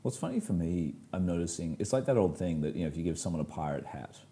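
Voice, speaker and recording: A very low-voiced man with a dark, relaxed tone speaks as a podcast co-host. He tells stories conversationally, choosing elaborate words and leaning on them for emphasis. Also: quick pace. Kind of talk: casual conversational storytelling.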